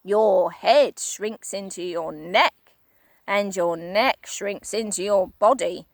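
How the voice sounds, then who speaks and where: A woman voicing a nasty old man who taunts slowly and with relish. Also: mid-range voice, no echo, clean studio sound, pitched below the performer's own voice. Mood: menacing. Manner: slow, gloating and emphatic. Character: nasty gloating old man, cruel trickster.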